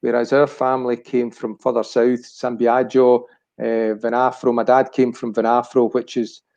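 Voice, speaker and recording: A man's voice: medium pitch, flat delivery, moderate pace, a little mellow. A Scottish man of about sixty reminiscing casually as a chat show guest, rambling and searching for words. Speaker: chat show guest, family business director.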